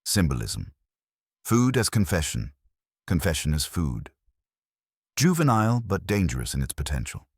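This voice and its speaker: Man playing imperious male detective, disdainful, precise formal phrasing, very deep, audiobook mystery. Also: wildly swooping pitch, normal speed, long pauses, darkish tone, husky, no echo, clean studio sound, heavily acted. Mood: grave. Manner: precise, pompous, formal wording.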